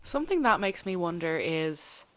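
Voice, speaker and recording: A woman's voice: on the low side, big pitch swings, normal speed, fairly bright tone. A woman co-hosting a casual conversation, asking curious, thoughtful follow-up questions.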